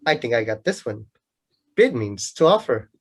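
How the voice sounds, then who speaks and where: An actor playing a know-it-all young student in a radio play, lecturing pedantically and with some exasperation in a husky voice. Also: mid-range voice, big pitch swings, normal speed. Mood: confident. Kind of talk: amateur radio play acting.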